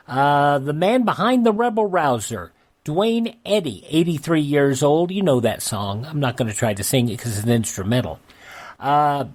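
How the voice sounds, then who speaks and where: A man hosting a radio show, reading out an almanac with casual asides in a halting, conversational way. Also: on the high side, darkish tone. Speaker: radio host.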